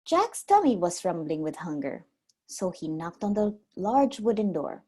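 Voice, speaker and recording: A woman reading a storybook aloud like a preschool teacher, expressive and simply worded for small children. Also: fairly low pitch, unhurried, husky.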